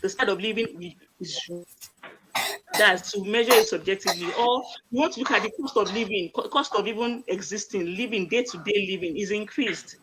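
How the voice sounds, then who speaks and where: A woman's voice: mid-range voice, brisk, noisy background. A woman, a doctor on a live online panel, explaining plainly and repeating key phrases. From Nigeria. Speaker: medical doctor, panel guest.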